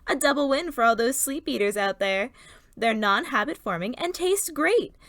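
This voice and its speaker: Woman playing a high-voiced radio ad pitchwoman. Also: lively intonation, moderate pace, a bit bright, no room sound, clean studio sound. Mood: upbeat, salesy. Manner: scripted, salesy. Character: cheery female sponsor pitchwoman in old-time radio ad. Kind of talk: parody radio advertisement.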